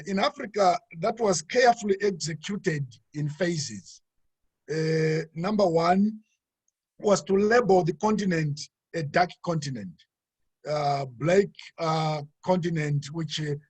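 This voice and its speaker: Husky-voiced Zimbabwean pastor, halting. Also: male voice, on the high side, darkish tone, no room sound. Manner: halting, many fillers and restarts. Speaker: pastor and webinar speaker. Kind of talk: webinar talk.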